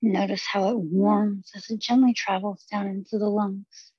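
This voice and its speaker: A woman teaching meditation, leading a guided body scan with gentle, unhurried instructions and long pauses. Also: medium pitch, steady tone, slightly rough, dry recording, highs cut off.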